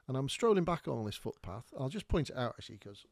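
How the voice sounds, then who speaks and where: A man's voice: medium pitch, very animated, quick pace, gravelly, dry recording. Man, podcast host; easygoing, chatty storyteller with a mid-range voice; dry sound. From North Wales. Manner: chatty, rambling, with many fillers and restarts. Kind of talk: casual storytelling while showing maps.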